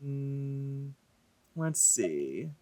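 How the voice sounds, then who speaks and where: Let's play host, very animated pitch swings, playful sarcasm, casual reactions over game music. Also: drawn-out words, dry recording.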